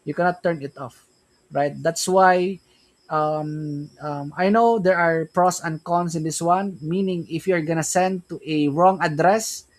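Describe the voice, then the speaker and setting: A man's voice: fairly high pitch, steady tone, a little slow, low-quality recording. A man from the Philippines with a Filipino accent, Tagalog his first language, hosting a casual crypto livestream and rambling with frequent "right?" and "yeah, man".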